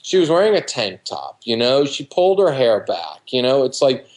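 A man's voice: mid-range voice, big pitch swings, moderate pace, darkish tone. Emphatic, casual man in his fifties. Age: 50s. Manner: emphatic, colloquial, repetitive, acts out quoted remarks. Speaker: dating coach, interview guest. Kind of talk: casual advice in a video interview. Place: living room at home, indoors. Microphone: computer mic on a video call.

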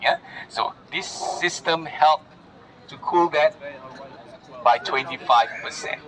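A man's voice: a little high, slow, fairly bright tone. A man guiding a walking tour, explaining to a group and often checking in with short tag questions. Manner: explanatory, often checks in with "yeah?" and "all right?". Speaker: tour guide. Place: outdoors in a public garden at night. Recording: noisy outdoor phone recording.

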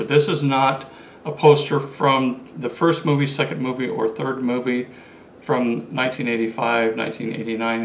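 Chatty man showing off collectibles. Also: medium pitch. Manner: chatty, enthusiastic, holds items up to camera. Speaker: collector vlogger. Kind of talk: casual show-and-tell vlog. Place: small indoor room with curtains. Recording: roomy echo, faint room hiss.

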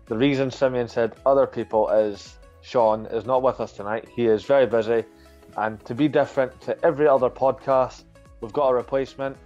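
A young man co-hosting a casual podcast over a video call, steady and moderating, keeping the show moving. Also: mid-range voice, brisk, lo-fi sound, soft background music. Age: young adult. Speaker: podcast co-host. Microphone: laptop webcam on video call.

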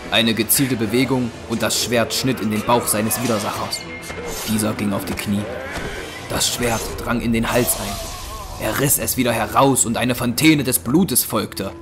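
A man's voice: on the low side, steady tone, a little slow. A man narrating an audio drama in a scripted, dramatic storytelling style. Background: music.

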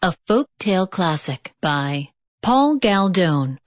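A woman reads a children's storybook as narrator. Her delivery is unhurried and careful, with lively rises and falls in a dry, close recording. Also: lowish voice, no room sound. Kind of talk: scripted read-along children's narration.

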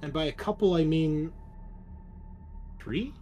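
A man running a tabletop roleplaying game as game master, narrating casually with halting, deliberate pauses. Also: on the high side, dark tone.

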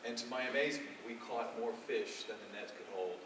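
A man playing an earnest, humbled fisherman apostle in a stage monologue, with halting, reflective and emphatic delivery. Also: medium pitch, natural voice. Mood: awed, reverent. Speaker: stage actor. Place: dark theatre stage, recorded from the audience. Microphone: far camera. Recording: distant, echoey, noisy stage recording.

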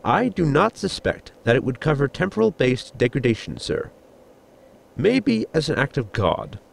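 A man playing a formal, pedantic science officer in a sci-fi comedy audio drama, with a gravelly voice and precise technical wording. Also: mid-range voice, big pitch swings, moderate pace, full character voice. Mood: dry, formal.

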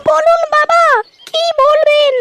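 A performer voicing a sassy young wife and daughter-in-law in a comic cartoon, coy and scolding through a squeaky pitch-shifted voice. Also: level tone, slow, heavily acted. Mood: dutiful. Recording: music clearly behind the voice.

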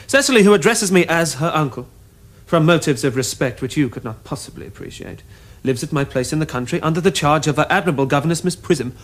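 An actor playing an earnest, stiffly proper young gentleman with an upper-class English accent and a haughty, formal manner. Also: on the high side, lively intonation, fast talker, lightly acted. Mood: lofty, exasperated. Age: young adult. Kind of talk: period stage comedy acting. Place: furnished studio drawing-room set.

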